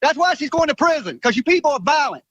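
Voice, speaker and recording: A man's voice: very high voice, sing-song, fast talker, fairly bright tone, muffled recording. A man doing confrontational street questioning, agitated and insistent, repeating his demands.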